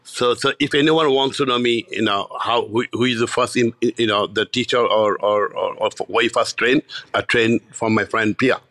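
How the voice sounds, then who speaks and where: Animated Bangladeshi man, bright voice. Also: medium pitch, normal speed, hardly any pauses, dry recording. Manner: animated, many restarts and repeats. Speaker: chef and restaurateur. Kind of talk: casual interview storytelling.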